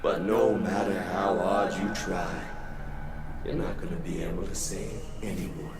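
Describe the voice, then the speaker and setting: A man's voice: low voice, fairly even intonation. A man voicing a cruel monster in a visual novel game that mimics a friend, gloating and menacing. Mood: cruel mockery. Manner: slow, menacing, mocking. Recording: echoey, distant, under loud music.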